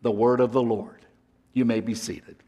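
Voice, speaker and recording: A man's voice: medium pitch, fairly even intonation, moderate pace, mellow, husky. A man reading scripture in formal liturgical style and introducing a speaker to the congregation. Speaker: pastor or scripture reader. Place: church sanctuary. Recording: church hall reverb.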